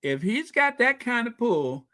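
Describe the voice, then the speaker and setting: A man's voice: very high-pitched, a little slow. A man hosts a chatty livestream, reading out viewer comments and laughing easily. His voice rises and falls a great deal while he takes his time over each phrase. The sound is close and dry, with no room echo. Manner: chatty, animated, laughs often. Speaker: livestream host.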